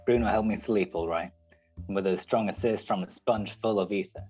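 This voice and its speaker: A man's voice: on the low side, fairly quick, darkish tone, husky, soft background music. A man playing a hard-boiled private detective in a noir radio play, sardonic and world-weary. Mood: terse, moody. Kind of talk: 1940s noir radio narration and dialogue.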